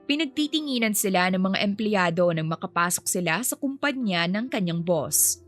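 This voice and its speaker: A woman's voice: mid-range voice, animated, normal speed. Woman narrating a story over music. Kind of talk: scripted fiction narration.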